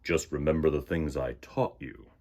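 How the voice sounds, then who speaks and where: A man voicing a big fatherly truck in a storybook, calm, protective and reassuring, speaking steadily. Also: rumbling low, moderate pace, a little hiss or hum, pitched below the performer's own voice. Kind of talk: storybook character voice.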